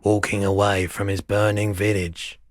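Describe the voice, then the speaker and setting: An actor playing a weary, mild-mannered pawnshop owner no longer young in a video game, speaking in an unhurried, low-key, dryly polite way. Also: male voice, little pitch movement, dry recording, natural voice. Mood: dry, calm. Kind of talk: video game dialogue acting.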